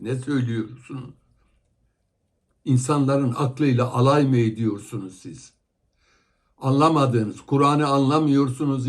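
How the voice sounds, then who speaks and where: Angry guy tearing into clips, scolding, rhetorical questions, mid voice. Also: mid-range voice. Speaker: commentator on religious videos. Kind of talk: heated critical commentary.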